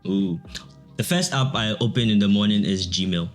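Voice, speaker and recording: A young man with a Ghanaian accent answers questions in a relaxed, chatty way, often breaking into laughter. His voice sits fairly low. Soft music plays faintly behind him. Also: normal speed. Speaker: guest, digital artist. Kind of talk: casual interview chat.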